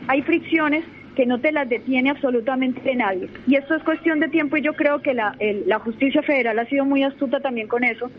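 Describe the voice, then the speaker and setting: A young Colombian woman, a lawyer, talking in an assertive, self-assured way as a guest on a radio interview, sometimes repeating words while she gathers her thoughts. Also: sits high. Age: young adult. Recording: muffled radio audio with faint noise.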